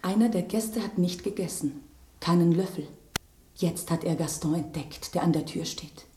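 A genteel lady, squeamish and full of dread, played by a woman in a radio drama. Her voice is mellow and refined, with a dramatic, anxious edge. It was recorded in a roomy space. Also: fairly low pitch, normal speed, slight background noise, lightly acted. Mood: anxious suspense.

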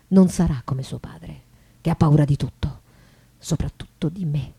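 An actress playing an embittered, elegant, proudly ironic bourgeois wife of the 19th century in a confessional stage monologue that shifts between hushed and declamatory. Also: female voice, on the low side, slightly rough, heavily acted. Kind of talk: theatrical monologue with live music. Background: guitar music.

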